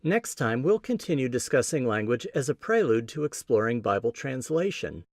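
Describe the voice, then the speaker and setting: A man with a Midwestern American accent hosting a podcast, giving a scripted teaching talk in a measured, explanatory way with emphatic stresses. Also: mid-range voice, big pitch swings, no room sound, clean studio sound. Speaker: podcast host.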